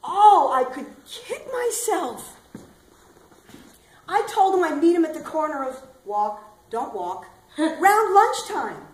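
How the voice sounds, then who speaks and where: A woman acting as an eccentric, loud-voiced bag lady on a small theater stage, talking brashly and rambling straight at her listeners. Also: high voice, sing-song, slow, full character voice. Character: eccentric loud middle-aged bag lady waiting for aliens. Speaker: stage actor. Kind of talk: theatrical comic monologue. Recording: echoey hall, faint ambient noise.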